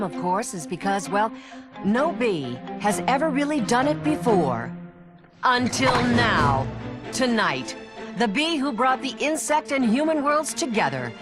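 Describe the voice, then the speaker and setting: A chipper lady bee hosts a video game talk show. She speaks with polished broadcast showmanship and swings freely up and down in pitch. Clear music plays behind her.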